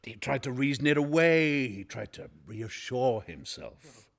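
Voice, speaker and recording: An actor playing a mad, obsessive, boastful murderer who narrates a radio play in a halting, theatrical way, moving between whispers and shouts. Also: male voice, mid-range voice, expressive, vocal fry. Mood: hushed, stealthy. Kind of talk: dramatic radio play monologue. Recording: live-recorded radio play.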